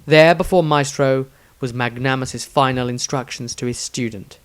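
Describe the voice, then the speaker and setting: A man's voice: medium pitch, normal speed, smooth. A man reading a scripted fantasy story as its narrator. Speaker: author and narrator.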